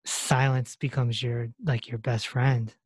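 A woman hosting a podcast, interviewing a guest in a relaxed, affirming way and sharing her own stories. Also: rumbling low, slow, slightly rough, no room sound. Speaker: podcast host. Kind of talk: conversational interview.

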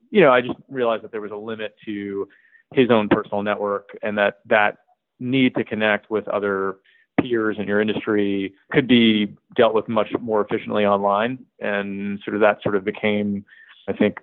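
A man being interviewed as a company's CEO, answering at a measured pace with lots of "sort of" fillers. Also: on the low side, dry recording. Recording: tinny phone-like line.

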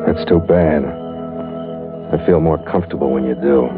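An actor playing a tough, cynical sea captain, terse and deadpan, in 1940s radio noir style. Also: male voice, very deep voice, moderate pace, phone-quality sound. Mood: cold, cynical. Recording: band-limited vintage radio recording with music.

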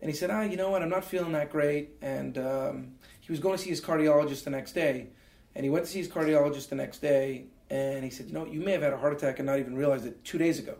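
A man's voice: fairly high pitch, quick pace, creaky, darkish tone. A Canadian man in his forties, an interview guest telling personal stories in an earnest, reflective way, with many fillers and restarts. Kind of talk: reflective storytelling. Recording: roomy echo.

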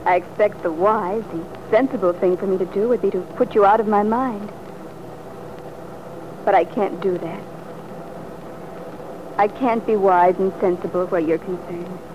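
A woman's voice: mid-range voice, normal speed, long pauses, lightly acted. An actress playing an earnest, devoted and wistful young woman in an old-time radio drama, speaking hesitantly. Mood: earnest, resolute. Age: young adult. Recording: muffled, noisy vintage radio recording.

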